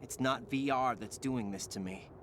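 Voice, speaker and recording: A man's voice: medium pitch, normal speed, close to an everyday voice. An actor playing a troubled young male soldier in a game cutscene, earnest and searching in his questions. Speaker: game character. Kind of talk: video game cutscene voice acting. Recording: game audio with ambient noise.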